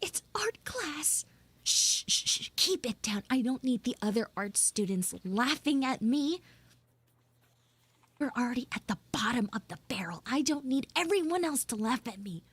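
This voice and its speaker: A woman's voice: a little high, sing-song, moderate pace, fairly bright tone, slightly rough, no echo, heavily acted. A woman playing a prickly, defensive schoolgirl in a live audio roleplay, stammering and dramatic as she grumbles and pleads. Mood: hushed, embarrassed.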